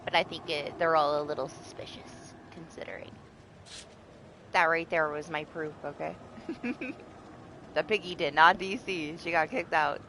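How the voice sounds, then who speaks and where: A woman's voice: low voice, normal speed, fairly bright tone, a little hiss or hum. A woman streamer chatting casually through her gameplay, sniffly and congested and now and then swearing. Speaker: game streamer. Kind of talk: casual live-stream chat.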